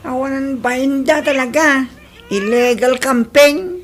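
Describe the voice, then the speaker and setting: A woman's voice: sits high, measured pace. A woman acting a candidate's loyal aide in an Ilocano comedy radio drama, earnest and questioning. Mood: puzzled, loyal.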